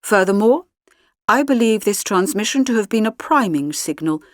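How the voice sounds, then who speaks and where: A woman voicing a calm, formal male alien supercomputer in an audiobook, speaking in measured technical phrases. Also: medium pitch, dry recording, clean studio sound, voice pushed up, natural voice. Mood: measured, analytical.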